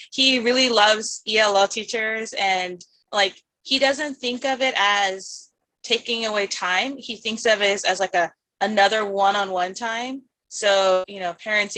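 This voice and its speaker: A woman's voice: mid-range voice, sharp tone, dry recording, rough recording. Eager rambling woman on a dry call. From United States. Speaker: parent board member. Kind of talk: casual online meeting talk. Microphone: video call.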